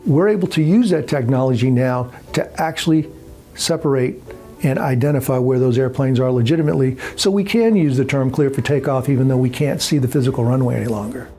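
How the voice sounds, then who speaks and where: Male controller interviewee, relaxed dark tone with some fry, faint music behind. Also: mid-range voice, brisk. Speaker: air traffic controller. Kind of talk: unscripted interview explanation.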